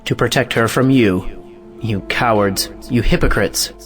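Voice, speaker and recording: An impassioned romantic hero , acted by a man, declaims with resolve in a stage drama. His voice is medium in pitch, with faint music behind. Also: unhurried, close to an everyday voice. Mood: indignant. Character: impassioned, righteous male lover defying convention. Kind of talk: audio play acting of an old stage drama.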